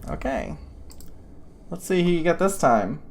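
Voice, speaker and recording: A woman's voice: on the low side, big pitch swings, a little slow, vocal fry, darkish tone, soft background music. A woman narrating a tabletop game as its game master, rambling with lots of fillers and laughing often.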